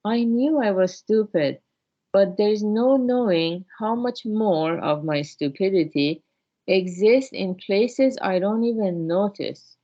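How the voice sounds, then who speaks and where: Woman reading as humble, slow-talking simple disciple. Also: medium pitch, mellow, pitched above the performer's own voice, lightly acted. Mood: humble realization. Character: humble, slow-witted male disciple, earnest and self-doubting. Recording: dull, lo-fi sound.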